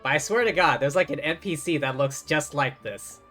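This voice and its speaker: A man's voice: fairly high pitch, moderate pace, bright, forceful tone. Chatty male game streamer thinking aloud. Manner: chatty, mimics lines mockingly, thinks aloud. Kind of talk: casual live game commentary. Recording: faint game music behind.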